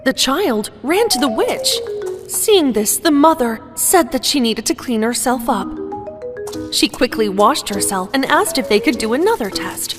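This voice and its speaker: Casting: woman storyteller, high voice, gentle expressive reading, music bed. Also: measured pace. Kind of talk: scripted bedtime story narration. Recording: narration with background music.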